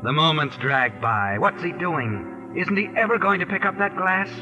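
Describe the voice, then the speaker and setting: An actor playing a knowing, taunting narrator in a 1940s radio mystery, speaking with deliberate suspense. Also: male voice, on the high side, lively intonation, dull audio, over music, natural voice. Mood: ominous. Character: ominous, knowing male narrator addressing a murderer. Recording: vintage 1940s radio recording.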